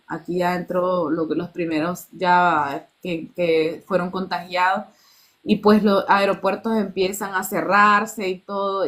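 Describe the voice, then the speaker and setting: A Nicaraguan woman in her thirties, telling a personal testimony in an earnest way and acting out the dialogue she quotes. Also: medium pitch. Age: 30s. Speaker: guest.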